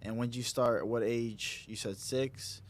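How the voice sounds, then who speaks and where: A man's voice: medium pitch, fairly even intonation, measured pace. Guy co-hosting, rambling slangy stories, swears. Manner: loose slangy storytelling, swears. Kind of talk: casual chat.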